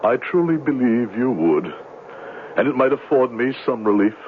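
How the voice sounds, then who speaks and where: A man acts out a pious, smooth-talking conman posing as a missionary in a radio play. His delivery is solemn and swoops widely in pitch, with theatrical hesitations. It comes through a thin, noisy old broadcast. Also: animated, moderate pace, phone-quality sound, lightly acted. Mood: solemn, sorrowful. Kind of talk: 1970s radio drama acting.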